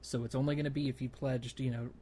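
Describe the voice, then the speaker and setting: A man's voice: mid-range voice, little pitch movement, quick pace, dark tone, a little hiss or hum. A man hosting a gaming podcast, explaining things casually in a loose, hesitant way. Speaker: podcast host.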